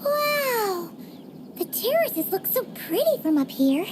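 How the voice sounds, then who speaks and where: Casting note: a tiny floating game sidekick, very high, squeaky, and sing-song, cheeky and excitable, with loud music underneath. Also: female voice, slow, background noise, exaggerated voice. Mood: excited. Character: tiny chirpy floating female companion creature. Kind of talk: video game voice acting. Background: game soundtrack music.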